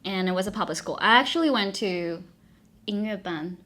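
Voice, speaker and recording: A young woman from Taiwan, with a Taiwanese accent, talks casually as a guest. Her voice sits at an average pitch and has a clear bright edge. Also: lively intonation, normal speed. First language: Mandarin. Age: young adult. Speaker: guest, graduate student. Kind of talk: casual chat.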